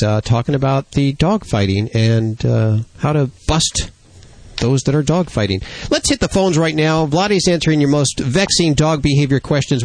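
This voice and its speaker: A man's voice: mid-range voice, dry recording. A man hosting a pet radio show, introducing the team, reading sponsor spots and taking calls. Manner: upbeat, quick, fills gaps with uh. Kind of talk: radio host patter and ad reads. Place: radio studio. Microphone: studio microphone.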